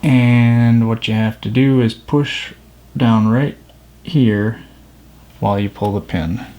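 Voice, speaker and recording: A man's voice: mid-range voice, slow, warm tone. A man giving casual, informal hands-on commentary, thinking aloud as he works. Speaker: watch restoration video host.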